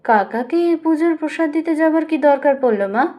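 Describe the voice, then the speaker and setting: An exasperated young married daughter with a high voice, played by a woman reader. She is impatient and scolding, in the manner of a family audio drama from a storybook. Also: lively intonation, moderate pace, mellow. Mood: irritated.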